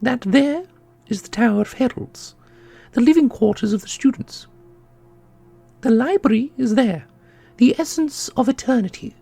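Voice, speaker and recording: A man voicing a solemn, knowing keeper of an ancient magical order in a fantasy audio roleplay, speaking in a formal, measured way. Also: very high voice, animated, gravelly, dark tone, slightly rough, full character voice. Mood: solemn, wistful. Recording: music under the voice.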